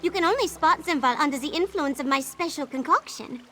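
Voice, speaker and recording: A high, excitable voice an eccentric game potion brewer. The delivery is theatrical, boastful and full of dreamy belief, with music playing behind it. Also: female voice, fast. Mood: dreamy wonder. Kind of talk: video game character dialogue.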